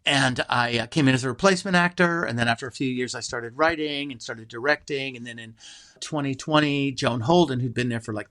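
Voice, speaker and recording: A middle-aged American man speaking conversationally as an interview guest, an animated storyteller who acts out remembered dialogue. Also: mid-range voice, no echo. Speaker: interview guest, theater playwright, actor and director.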